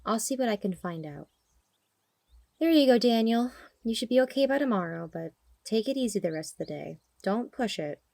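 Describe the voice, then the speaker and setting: A woman playing a practical, caring healer for a werewolf pack in an audio drama, brisk and matter-of-fact. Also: on the high side, lively intonation, gravelly, dark tone, dry recording, studio quality. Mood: thoughtful, caring. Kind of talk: audio roleplay acting.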